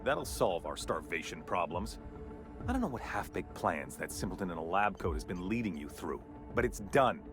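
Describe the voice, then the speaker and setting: Smug, theatrical corporate villain, wildly swooping delivery. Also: male voice, low voice, very animated, normal speed, over music. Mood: scornful, exasperated. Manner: pompous, scornful, swooping emphasis. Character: smug, callous corporate chairman, sardonic and theatrical. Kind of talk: video game cutscene acting.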